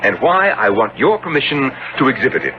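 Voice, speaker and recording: An actor playing a hearty, admiring gentleman painter in a 1970s radio drama, with an effusive, exclamatory delivery. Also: mid-range voice, very animated, a little fast, close to an everyday voice. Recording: muffled, noisy old radio broadcast.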